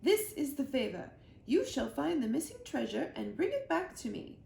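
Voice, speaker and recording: A woman's voice: sits high, sing-song, normal speed, a little mellow, voice pushed up, exaggerated voice. A woman voicing a haughty, commanding Queen of England with an imperious, formal manner for a children's storybook. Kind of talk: children's storybook read-aloud. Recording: roomy echo, clear background noise.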